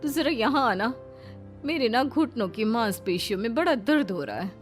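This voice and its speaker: Cartoon mother-in-law acted by a woman: older, demanding, quarrelsome, fairly high voice, emphatic delivery, music underneath. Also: very animated, normal speed. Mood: coaxing. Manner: emphatic, coaxing, imperious.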